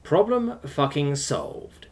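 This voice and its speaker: Man narrating fiction with lively, swooping delivery. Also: on the high side, slow pace. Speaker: narrator of online science fiction stories. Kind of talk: expressive scripted audiobook narration.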